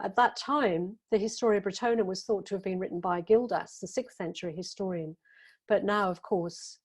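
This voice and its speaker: A woman giving a conference paper, reading her prepared text in a measured, careful way with the odd filler. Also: mid-range voice, a little mellow. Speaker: academic conference speaker.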